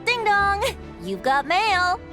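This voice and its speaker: A lively character in a fantasy game. Her delivery is theatrical and expressive, high and animated, with clear music behind. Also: takes it slowly, heavily acted. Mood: playful. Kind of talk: dramatic scripted game dialogue.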